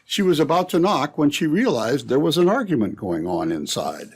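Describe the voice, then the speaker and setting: Casting: male storyteller for a radio serial, husky, with lively inflection. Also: a little high, moderate pace. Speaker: narrator. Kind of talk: old-time radio scripted narration.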